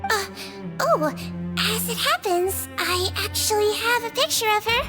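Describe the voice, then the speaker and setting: A tiny, sweet game nurse, with an extremely high, childlike voice. She speaks gently and earnestly, like someone small who cares for patients, with music clearly playing behind her. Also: expressive, unhurried. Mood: fond. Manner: gentle, earnest, softly polite. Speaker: doctor. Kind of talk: video game voice acting.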